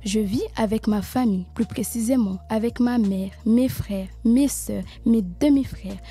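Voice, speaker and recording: Earnest young student, dark-toned. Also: female voice, a little high, measured pace, breathy edge. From Mayotte. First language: Kibushi. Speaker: student presenter. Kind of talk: school podcast presentation.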